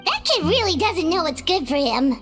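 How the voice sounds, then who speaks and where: A woman voicing a tiny, excitable, childlike fairy companion in a video game, squeaky and blunt. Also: moderate pace, full character voice. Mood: skeptical. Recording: music behind the voice.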